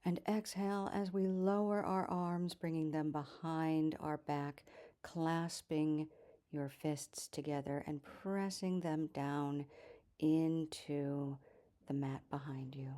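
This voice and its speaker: A woman leads a yoga class in a low, mellow voice. She gives calm cues at an unhurried, slow pace, letting the ends of phrases fall soft and breathy. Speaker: yoga teacher.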